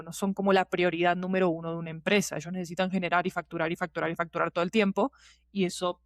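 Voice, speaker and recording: A woman's voice: on the low side, no echo, studio quality. A woman giving talkative, digressive interview answers as a podcast guest, with emphatic repetition. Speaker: podcast guest, scriptwriter and producer.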